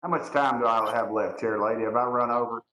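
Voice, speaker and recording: A man's voice: mid-range voice, fairly even intonation, fairly quick, pushed and bright. A man with a Southern Tennessee accent, a congressman questioning witnesses in a folksy, courteous, plain-spoken way. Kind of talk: informal questioning at a formal hearing. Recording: roomy, echoing hearing audio.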